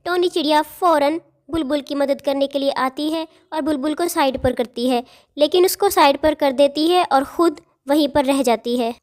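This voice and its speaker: A woman's voice: sits high, normal speed, darkish tone. A woman narrator reading a scripted cartoon story for children.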